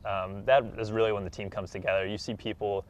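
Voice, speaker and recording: A man's voice: fairly low pitch, level tone, fast talker. A young American man, a college athlete, answering interview questions in a casual, plain-spoken, earnest way. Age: young adult.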